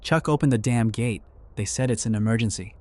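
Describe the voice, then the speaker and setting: A man's voice: mid-range voice, brisk, background noise, natural voice. A man acting an alarmed, take-charge survivor in an audio drama, blunt and urgent. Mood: impatient.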